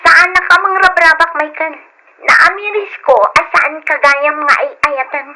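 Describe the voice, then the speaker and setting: An actress playing a fed-up, cold wife in an old radio drama, firm and scornful, on a slightly noisy recording. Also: female voice, very high-pitched, moderate pace, bright, forceful tone, exaggerated voice. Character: fed-up, cold wife leaving her husband, Lilian.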